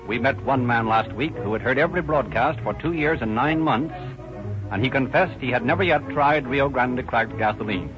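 A man reading a scripted 1930s radio sponsor pitch as a polished, persuasive announcer. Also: normal speed. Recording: dull old radio recording with music. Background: music.

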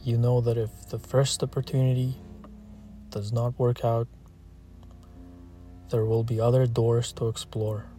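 A man guides a meditation in a relaxed, mellow voice at a middle pitch. His delivery is soothing and steady, with barely any rise or fall. Short phrases are set apart by long, unhurried pauses. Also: soft background music. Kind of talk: slow guided meditation, scripted narration.

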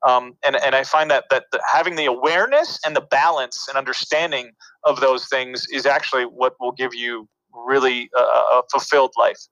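A man's voice: medium pitch, steady tone, moderate pace, few pauses, fairly bright tone, dry recording, muffled recording. A man in his thirties from New York and New Jersey, a guest in an interview, talking in a rambling, reflective way with lots of fillers and restarts. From New York City and New Jersey. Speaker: interview guest, media producer. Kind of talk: casual conversational interview.

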